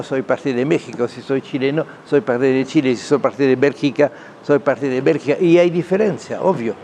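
Man, gravelly creak, interview guest, halting, emphatic, repetitive, faint background noise. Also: medium pitch, darkish tone. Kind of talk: spoken interview, explanatory opinion.

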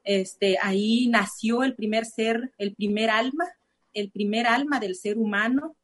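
A woman's voice: fairly high pitch, a little slow, slight background noise. Hesitant woman speaking Spanish. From Nayarit, Mexico. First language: Cora (Náayeri). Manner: hesitant, many fillers and restarts. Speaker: interview guest. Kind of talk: personal testimony.

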